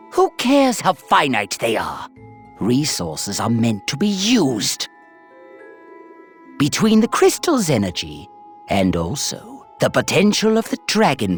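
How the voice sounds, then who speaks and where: A woman's voice: very deep voice, heavily acted. A woman playing an imperious, scheming alien tyrant in an anime video game, with haughty, grandiose, formal speech. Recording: music behind the voice.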